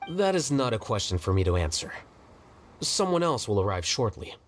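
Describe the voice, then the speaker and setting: An actor playing an aloof, rational young scholar in a video game, speaking in a measured, formal and detached way. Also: male voice, fairly low pitch, sing-song, very noisy. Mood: detached, lecturing.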